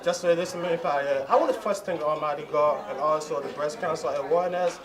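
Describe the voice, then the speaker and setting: Young guy from liberia, earnest, keeps repeating himself, slightly high voice, loud crowd behind. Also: fairly quick. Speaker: bodybuilding champion. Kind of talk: post-show interview. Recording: loud crowd at a contest venue.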